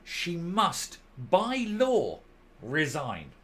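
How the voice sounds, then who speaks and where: A male commentary host reading a document aloud and commenting on it, stressing key words with deliberate, emphatic phrasing. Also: on the high side, wildly swooping pitch, sharp tone.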